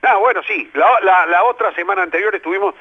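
A man's voice: high voice, quick pace. An older man with a Rioplatense accent, a playwright and theatre director answering radio interview questions in a reflective way, with many fillers. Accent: Rioplatense Spanish. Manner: reflective, lively, filler-heavy. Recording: lo-fi radio broadcast.

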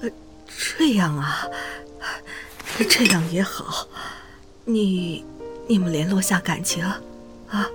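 A woman playing an anxious, loving middle-aged mother in a drama, hesitant and halting, often repeating herself. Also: on the low side, over music. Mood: awkward, hesitant. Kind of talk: screen-style audio drama acting.

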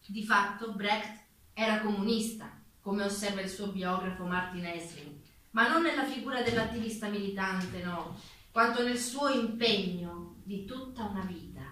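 Echoey, distant woman narrator, bright voice. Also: mid-range voice, normal speed. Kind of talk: scripted theatrical narration. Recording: echoey, distant, faintly noisy stage recording.

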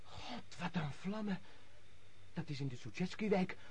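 An actor playing an awestruck servant in a radio drama, speaking with agitated wonder. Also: male voice, sits high, expressive, a little slow, slight background noise. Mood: awed. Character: awestruck servant watching a distant fire.

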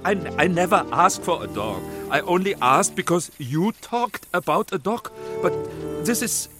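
Earnest émigré filmmaker in a radio play: German accent, slow broken English, groping for words, pitch swinging widely. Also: male voice, big pitch swings, over music. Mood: flustered, dismayed. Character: earnest, flustered émigré film director, a man. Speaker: actor in a radio drama.

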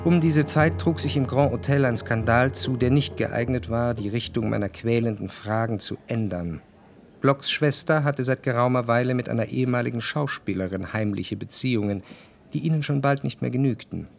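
Casting: introspective male narrator played by an actor, mid-pitched, measured literary radio drama delivery, with music underneath. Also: gravelly, natural voice. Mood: reflective, measured. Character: introspective male narrator, jealous lover recalling his past.

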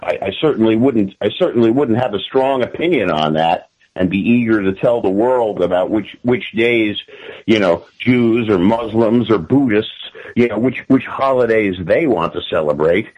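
An American man with a classic radio-style voice, speaking conversationally as an interview guest and often haltingly repeating and restarting his phrases. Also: fairly low pitch, lo-fi sound.

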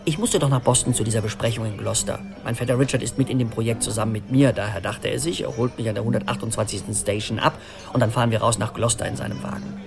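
An actor in a radio play voicing an anxious middle-aged man who tells his story in an urgent, animated, rambling conversational monologue. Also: medium pitch, fast, creaky, over music, close to an everyday voice. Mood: animated recounting. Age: 40s.

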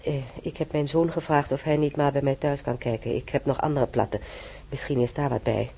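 Een actrice die in een hoorspel een oudere bedlegerige patiënte speelt, moederlijk en snel van slag, haperend en zuchtend. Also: female voice, rumbling low, steady tone, vocal fry, dark tone, slightly rough, full character voice. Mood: chatty. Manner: halting, sighing, full of ohs. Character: older bedridden female patient, flustered, teasing, motherly. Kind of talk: radio drama acting.

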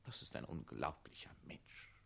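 An actor playing a smooth, falsely friendly scheming official in a radio play, coaxing in an oily, theatrical way. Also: male voice, moderate pace, fairly bright tone, lightly acted.

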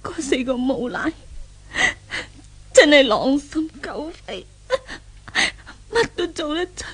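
A woman's voice: high voice, animated, slow, exaggerated voice. An actress in a Cantonese radio drama playing a frightened, indignant young woman held captive, anguished and melodramatic. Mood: despairing.

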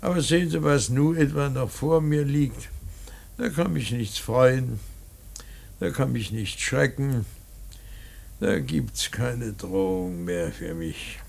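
An old painter in mourning for a radio play, bitter and rambling. His voice is husky and crackles with gravel. He speaks slowly and haltingly, with heavy emphasis that swells out of murmurs. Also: medium pitch, a little mellow. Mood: solemn, defiant. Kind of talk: theatrical German radio drama monologue.

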